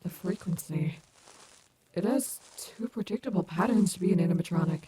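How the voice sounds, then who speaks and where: A woman's voice: on the low side, normal speed, warm tone, a little hiss or hum, close to an everyday voice. A woman playing a cocky but caring animatronic wolf rock star in an ASMR audio roleplay, teasing and affectionate. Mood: alert, reassuring.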